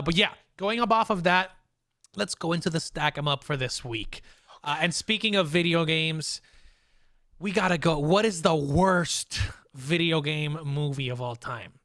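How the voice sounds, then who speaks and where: A man hosting a casual podcast, talking excitably and repeating phrases for emphasis. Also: fairly high pitch, no room sound, studio quality. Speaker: podcast host.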